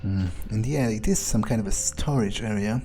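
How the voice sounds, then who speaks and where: A man's voice: on the low side, moderate pace, warm tone, faint music behind, natural voice. A man roleplaying an irritable, cynical vampire in a video game, muttering bluntly and thinking aloud. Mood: wary muttering. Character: irritable, foul-mouthed, cynical male vampire errand-runner.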